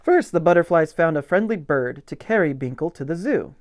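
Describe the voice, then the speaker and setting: A man reading a picture book aloud as a pastor at storytime, with gentle expression and simple asides for young children. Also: a little high, brisk. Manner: gentle, expressive, stretches key words for emphasis.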